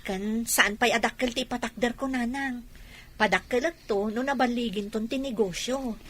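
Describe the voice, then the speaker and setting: A dutiful, hopeful young daughter in a radio drama. Her voice is fairly high and bright with a husky edge, and she speaks earnestly and respectfully. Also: moderate pace. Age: young adult. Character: earnest, dutiful daughter with big business dreams.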